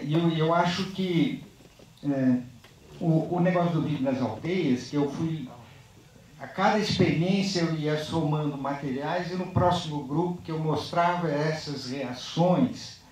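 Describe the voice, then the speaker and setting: A man with a fairly high voice speaks after a film screening in a halting, thoughtful way, tagging his phrases with questions. He is far from the microphone. His words ring through a large, very echoey room. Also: a little mellow. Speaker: filmmaker speaking on a post-screening panel. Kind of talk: unscripted panel discussion.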